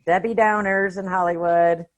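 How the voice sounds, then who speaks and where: A woman's voice: fairly low pitch, steady tone, normal speed, dull audio. A woman co-hosting a podcast, chatting casually in a blunt, informal way. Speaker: podcast co-host. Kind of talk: casual chat.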